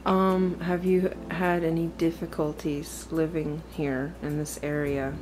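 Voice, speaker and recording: A woman's voice: low voice, level tone, measured pace, background noise. A woman casually interviewing friends for a vlog with short prompts and questions.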